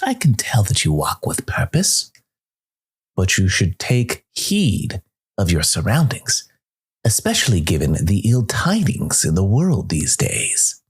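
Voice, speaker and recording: A man voicing a small, clever furred woodland creature in a storybook tale, slow and hushed, warning cryptically in a breathy rasp. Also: on the low side, huge pitch swings, voice pushed up, full character voice. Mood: friendly warning.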